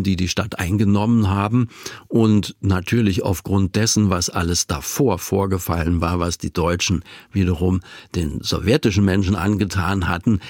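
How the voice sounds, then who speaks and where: A man in his sixties from West Berlin, hosting the conversation and telling anecdotes in a lively way, with some hesitations. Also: low voice, no echo, studio quality. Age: 60s. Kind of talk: conversational interview.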